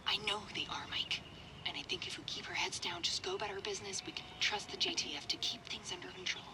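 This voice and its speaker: Hushed, expressive protective survivor woman. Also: mid-range voice, quick pace, in a whisper. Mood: hushed, dismissive. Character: protective, firm adult woman survivor, calmly dismissive. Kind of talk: dramatic game audio log dialogue.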